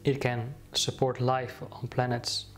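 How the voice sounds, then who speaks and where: Slow, flat-voiced male meditation guide. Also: mid-range voice, faint music behind. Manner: slow, soothing, many pauses and restarts.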